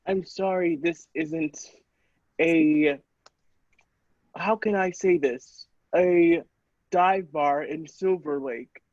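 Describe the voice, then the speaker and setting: Man playing a hesitant, higher-voiced policeman. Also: very slow, long pauses, darkish tone, dry recording, highs cut off. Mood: politely exasperated. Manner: hesitant, apologetic, dry. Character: polite, flustered policeman fielding odd requests. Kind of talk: improvised sketch comedy.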